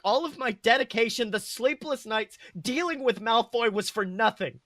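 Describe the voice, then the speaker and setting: Man playing proud schoolboy wizard, earnest, emphatic, first-person storytelling, highish pitch, dry close sound. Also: pushed and bright, no echo. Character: boy Slytherin first-year student, proud, indignant, sorry.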